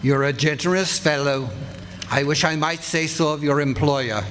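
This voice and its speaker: Man playing a courteous gentleman, slightly high voice. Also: moderate pace, lightly acted. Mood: genial. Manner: polite, formal. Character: courteous, genial charity-seeking gentleman. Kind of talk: live stage radio theatre acting. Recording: live stage recording, faint background noise.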